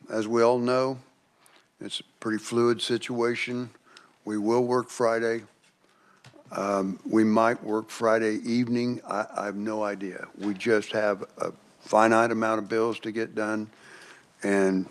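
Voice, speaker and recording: Male committee chair, courteous procedural delivery, slightly low voice. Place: legislative committee room.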